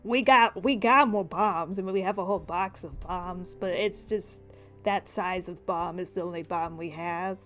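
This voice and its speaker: A woman voicing a haggling shopkeeper in a tabletop game, with hesitant, drawn-out salesmanship. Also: lowish voice, soft background music, pitched below the performer's own voice, close to an everyday voice. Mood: eager selling. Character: haggling shopkeeper selling enchantments, bombs and oddities.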